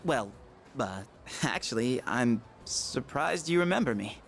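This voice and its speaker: A man's voice: mid-range voice, expressive, measured pace, creaky, faint music behind. A man voicing a friendly, awkward teenage boy in a video game, talking casually and hesitantly. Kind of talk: English video game dub acting, casual dialogue.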